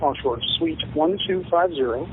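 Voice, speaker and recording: A man's voice: a little high, normal speed. A man explaining things as a government agent on an informal official call, restarting phrases, over a tinny phone line. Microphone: far end of phone call. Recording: quiet, tinny phone line, faint noise.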